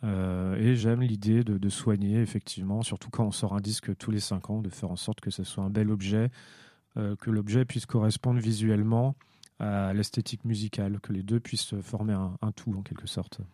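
A man, a musician guest, answering interview questions in a thoughtful, hesitant way with frequent pauses and fillers. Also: on the low side, level tone, dark tone, no room sound, studio quality.

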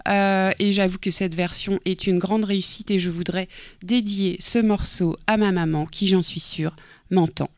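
A woman co-hosting a radio music show, chatting in a relaxed way and introducing records. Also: mid-range voice, moderate pace, clear, resonant tone, dry recording. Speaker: radio co-host. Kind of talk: casual music chat.

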